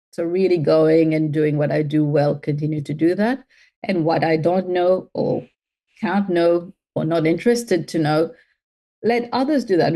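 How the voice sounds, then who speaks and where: A woman from Mauritius speaks as an interview guest, her voice low and mellow. She thinks aloud, circling back with fillers and restarts as she builds each answer. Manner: thoughtful, with many fillers and restarts. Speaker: guest, health tech CEO.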